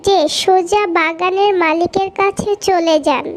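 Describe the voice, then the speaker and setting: A woman's voice: very high voice, fairly even intonation, measured pace. A woman narrating a scripted fairy tale for children as the storyteller of a cartoon.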